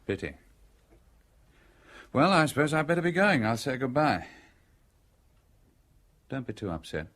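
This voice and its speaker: Husky, wry, persuasive man. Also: medium pitch, very animated, measured pace, pauses often, a bit bright. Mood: dry, cool. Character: cool, wry, persuasive man explaining away a killing. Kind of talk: radio drama acting.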